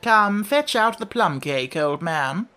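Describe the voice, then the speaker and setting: Man voicing a haughty, languid unicorn, slightly high. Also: unhurried, fairly bright tone. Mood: breezy, eager. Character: haughty, carelessly confident unicorn.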